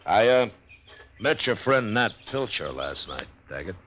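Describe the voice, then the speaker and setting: An American actor playing a stern, weary, decent frontier marshal, terse and plainspoken, in a 1950s Western radio drama. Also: male voice, lowish voice, sing-song, unhurried, fairly bright tone, husky, heavily acted. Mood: firm, frank. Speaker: lead character and narrator.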